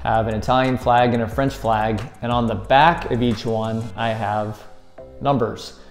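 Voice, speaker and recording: A man in his thirties talks through a hands-on tutorial with easy, upbeat energy, explaining each step as he goes. His voice sits in an ordinary middle pitch. He is recorded indoors on a lapel mic, with faint music playing softly behind him. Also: fairly even intonation, normal speed. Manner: upbeat, explains step by step while handling props. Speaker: escape room tutorial host. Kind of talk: friendly how-to demonstration. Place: indoors at a table against a plaster wall.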